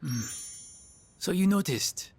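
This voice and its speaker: A man's voice: high voice, lively intonation, slow, creaky, slightly rough, faint music behind, exaggerated voice. A man voicing an earnest, sensitive foreign student in a game, with hesitant, formal, emotional delivery. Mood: sad, hesitant. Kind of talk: game voice acting.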